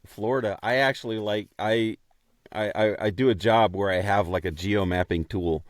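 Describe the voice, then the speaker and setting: A man's voice: on the low side, moderate pace, no echo, clean studio sound. A man co-hosting a podcast, chatting casually and jokily with a guest. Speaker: podcast co-host. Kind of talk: casual, jokey chat.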